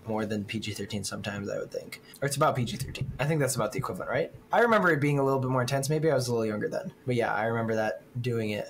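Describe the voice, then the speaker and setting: Male podcast co-host, mid-range voice, relaxed and thoughtful, light background noise. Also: mid-range voice. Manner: reflective, relaxed, with small laughs. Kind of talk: casual film discussion.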